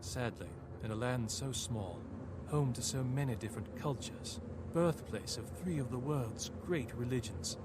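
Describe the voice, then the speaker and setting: A man playing a reflective Norman crusader knight, narrating a video game campaign in a measured, grave, formal way. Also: mid-range voice, heavy background noise, lightly acted. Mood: reflective, wistful. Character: captured Norman crusader narrating his years with Saladin, reflective, solemn. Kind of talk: scripted dramatic storytelling narration. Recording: voice over a clear music bed. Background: game cutscene music.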